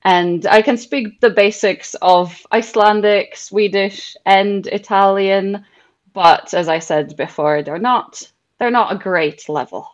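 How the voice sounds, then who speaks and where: A woman's voice: mid-range voice, slow, highs cut off. A young woman whose first language is Czech, speaking English with a Czech accent and a slight Scottish lilt, answering interview questions thoughtfully with frequent ums and small restarts. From Czech Republic. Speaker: guest, English teacher.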